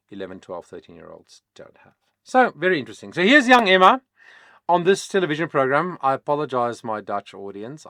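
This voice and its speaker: A man whose first language is Afrikaans, a vocal coach explaining singing in a casual, chatty way with many pauses and fillers. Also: mid-range voice, fairly bright tone, dry recording, studio quality. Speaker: vocal coach, channel host. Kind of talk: casual reaction-video talk.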